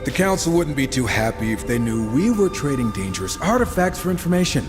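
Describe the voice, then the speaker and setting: Man acting a lively, wisecracking gunslinger horseman. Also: mid-range voice, fairly quick, music in the background, lightly acted. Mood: playful. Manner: joking, sarcastic, teasing. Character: wisecracking, irreverent, laid-back male horseman gunslinger. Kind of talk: video game dramatic acting.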